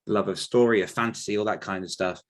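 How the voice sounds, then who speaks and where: Deep-voiced man, measured and reflective. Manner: measured, diplomatic, hedging. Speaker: podcast host. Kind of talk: casual reflective discussion.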